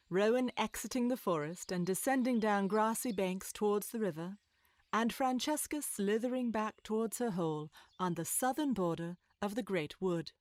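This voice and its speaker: A woman reading scripted storybook narration in a measured, expressive storytelling manner. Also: medium pitch, dry recording.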